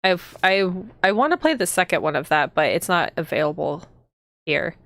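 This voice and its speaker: A woman's voice: lowish voice, sing-song, normal speed, fairly bright tone, no room sound, clean studio sound. A woman chatting casually to viewers as she hosts a live game stream. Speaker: streamer and host.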